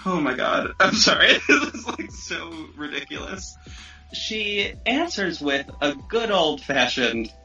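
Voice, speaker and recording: Animated, bright, higher-voiced man telling stories. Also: measured pace, highs cut off, faint music behind. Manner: expressive, acts out quoted remarks. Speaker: podcast speaker. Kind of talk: animated casual storytelling with swearing.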